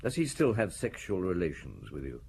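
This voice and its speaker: An actor in an audio drama playing a shrewd chief inspector who questions people in a measured, blunt, politely insistent way. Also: male voice, deep voice, wildly swooping pitch, vocal fry, darkish tone, a little hiss or hum, full character voice. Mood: probing, polite. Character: shrewd, probing male police chief inspector. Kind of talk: audio drama dialogue and first-person narration.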